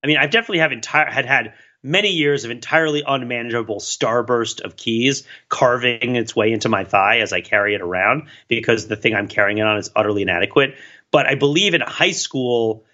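Bright, animated man on slightly dull audio. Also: medium pitch, fairly quick. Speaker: podcast co-host. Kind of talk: casual rambling chat.

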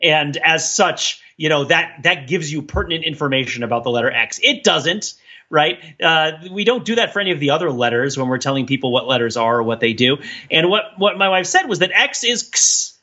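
Guy podcast cohost, bright voice, rambly explainer, keeps going "right?", bit muffled audio. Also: fairly high pitch, expressive, a little fast. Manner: rambling, digressive explaining, often checking with "right?". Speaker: podcast co-host. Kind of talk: casual discussion.

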